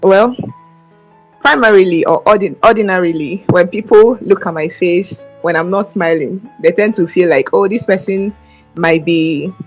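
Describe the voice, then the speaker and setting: A young Nigerian woman answers questions on a video call in a soft, polite voice. She hesitates often and restarts her sentences. Faint music plays in the background. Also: on the low side, moderate pace, poor audio. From Ebonyi State, Nigeria. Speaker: guest. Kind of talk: interview answers.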